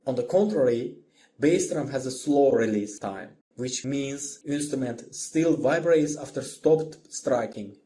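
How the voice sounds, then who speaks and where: Male instructor for tutorial narration, mid-pitched and mellow, simple explanatory delivery, faint noise on the recording. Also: unhurried. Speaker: music instructor. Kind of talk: scripted lesson narration.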